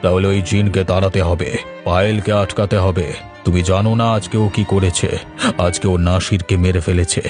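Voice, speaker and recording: A man's voice: deep voice, little pitch movement, fairly quick, music in the background, close to an everyday voice. A man voicing a grave, authoritative village holy man in a cartoon, earnest and stern. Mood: urgent, stern. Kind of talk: Bengali cartoon voice acting.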